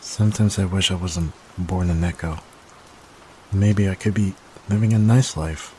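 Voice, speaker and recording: A man playing a timid, gentle young catboy in an ASMR roleplay, speaking hesitantly with stammers. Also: low-pitched, unhurried, poor audio. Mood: sad, confiding. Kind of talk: soft-spoken ASMR roleplay. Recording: steady rain noise behind voice. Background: rain.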